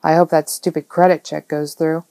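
A woman's voice: medium pitch, fairly quick, darkish tone, dry recording, close to an everyday voice. A woman voicing a friendly, easygoing man in an audiobook, casual and familiar with a grumbling streak. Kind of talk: audiobook character dialogue.

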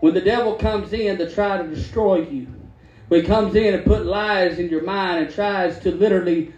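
High-pitched preacher man in echoey hall. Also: fairly even intonation, moderate pace, a little mellow, lo-fi sound. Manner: exhorting, emphatic, repeating phrases. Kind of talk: fervent Pentecostal sermon with call and response. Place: church hall during a service. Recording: echoey hall with congregation responding. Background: congregation calling out responses.

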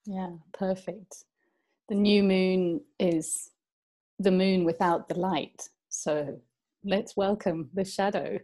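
A woman who is an awakening coach and mindfulness instructor, co-hosting a casual conversation in a soft, gentle way with frequent giggles. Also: medium pitch, fairly even intonation, takes it slowly.

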